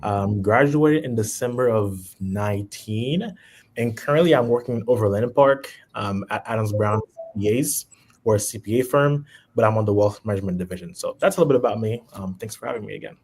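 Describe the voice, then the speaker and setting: Haitian man, low husky voice. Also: a little fast. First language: Haitian Creole. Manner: relaxed, with frequent ums. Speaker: podcast guest. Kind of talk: casual chat.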